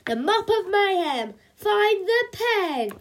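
A high-voiced, boastful superhero mum, acted out by a woman reader for a children's storybook. She makes bombastic, grand proclamations as a daring champion in costume. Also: sing-song, slow, heavily acted. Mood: heroic.

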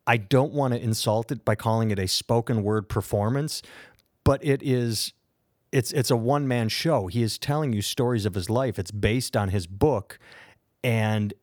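A man's voice: medium pitch, normal speed, a little mellow, no room sound, studio quality. An American man co-hosting a podcast, telling stories in a rambling, enthusiastic way. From United States. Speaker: podcast co-host. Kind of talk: casual podcast chat.

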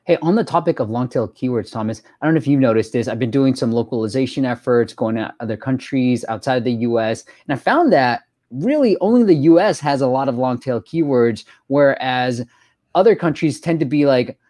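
A man's voice: medium pitch, brisk, mellow, dry recording, studio quality. A man hosting a live stream, chatting casually and reading out viewer questions.

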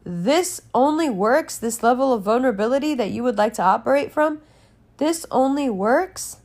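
A woman giving a candid personal monologue in a blunt, emphatic way, with frequent swearing. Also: high-pitched. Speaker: podcast and channel host.

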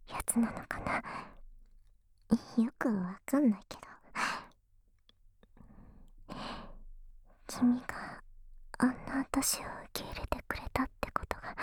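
A woman playing a shy, jealous and devoted young tsundere girlfriend in an intimate ASMR voice drama, breathy and hesitant, close to a binaural microphone. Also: a little high, unhurried, long pauses, darkish tone, no room sound. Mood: bashful. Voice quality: breathy whisper. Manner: bashful, hesitant, confiding. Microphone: close binaural microphone, dry.

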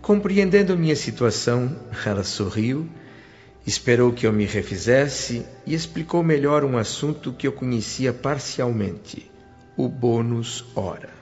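A Brazilian man playing a reverent, deeply moved son, narrating his memories gently in a radio soap opera. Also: lively intonation, a little slow. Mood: moved, reflective. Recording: dull lo-fi radio drama with music.

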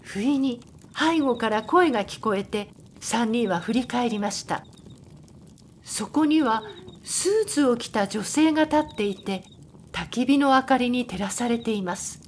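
A woman's voice: on the high side, expressive, unhurried, a little hiss or hum. A woman reading scripted narration for a radio drama.

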